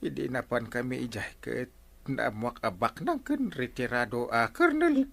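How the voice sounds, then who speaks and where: A man's voice: mid-range voice, big pitch swings, normal speed, vocal fry. An actor with an Ilocano accent playing a flustered village captain in a comedy radio drama, stammering and hesitant as he makes sheepish excuses.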